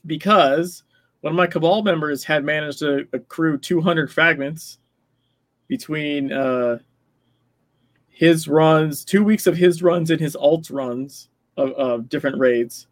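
A man with a fairly high-pitched voice talks through game details in a casual way. He explains as he goes and adds small hedging asides. The sound is very dry, with no room echo. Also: a little slow, fairly bright tone. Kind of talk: casual gaming discussion.

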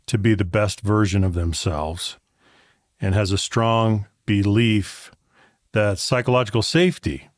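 A man hosting a podcast interview, introducing his guest in a courteous, measured, articulate way. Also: fairly low pitch, no echo, clean studio sound. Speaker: podcast host.

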